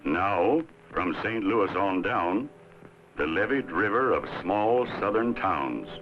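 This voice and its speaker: A man reading scripted educational film narration in a measured, dramatic way with deliberate pauses. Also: low-pitched, lively intonation. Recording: muffled old film soundtrack. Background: plucked guitar music.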